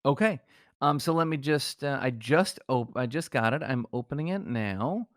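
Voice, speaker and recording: A man's voice: medium pitch, lively intonation, moderate pace, no room sound, studio quality. A man co-hosting a comedy podcast, moving between banter and mock-solemn reading.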